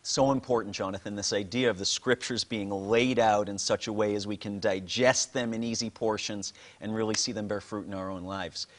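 A man's voice: fairly low pitch, steady tone, normal speed, few pauses. A man co-hosting a religious show, teaching and presenting in an articulate, conversational way and often affirming his partner.

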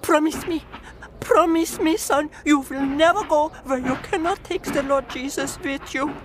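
A woman's voice: sits high, a little slow. An actress playing an anxious, devout, loving mother from the old country, pleading and insistent, in a radio drama. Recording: noisy train platform sound effects.

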